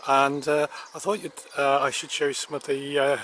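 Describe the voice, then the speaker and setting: A man's voice: mid-range voice, little pitch movement, moderate pace, fairly bright tone. Rambling man on camera outdoors. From Wales. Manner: rambling, many hesitations, chatty and polite. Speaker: vlogger. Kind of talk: casual vlog narration. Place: outdoors by a road and in a country park. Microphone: handheld camera mic, partly taped over. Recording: outdoor camera audio with traffic and wind noise.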